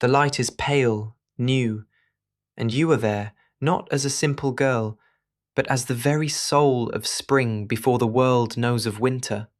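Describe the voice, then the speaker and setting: A man's voice: medium pitch, steady tone, a little slow, close to an everyday voice. A man playing a passionate Victorian painter in an audio drama, speaking with earnest, intimate, poetic intensity. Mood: rapt, visionary.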